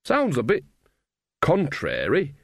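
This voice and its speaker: A man acts out an old country witch for a storybook. The voice is pitched high, cheerful and bossy. It chats and scolds in rustic dialect. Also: wildly swooping pitch, slow pace, creaky, fairly bright tone, breathy edge, no echo, studio quality, heavily acted. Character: bossy, cheerful old village witch mother, Nanny Ogg.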